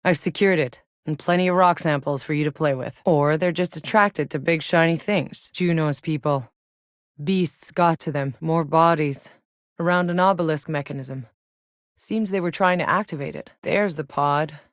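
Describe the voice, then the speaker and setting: A woman's voice: sits low, moderate pace, dry recording, close to an everyday voice. An actress playing a tough, sardonic, weary but determined woman soldier in a video game, with a terse, dry, hard-edged delivery. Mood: dry, matter-of-fact. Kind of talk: acted video game voice lines.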